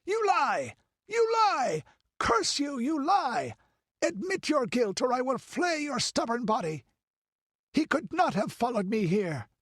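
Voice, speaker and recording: A man's voice: very high voice, wildly swooping pitch, slow pace, fairly bright tone, no room sound, studio quality, pitched above the performer's own voice, exaggerated voice. A man voicing a frenzied, tyrannical count in an audiobook, with a snarling, shrieking fury. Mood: frantic, shrieking. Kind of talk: audiobook dramatic character voice.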